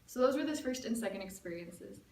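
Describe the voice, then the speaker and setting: A young woman from the United States gives a storytelling speech with a somewhat high voice, chatting casually and dropping in plenty of "like" and "um". She sounds a little distant from the mic. The room adds a clear echo. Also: lively intonation, a little fast, darkish tone. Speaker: student giving a speech. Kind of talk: prepared personal storytelling speech. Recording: echoey room, mic at a distance, faint ambience.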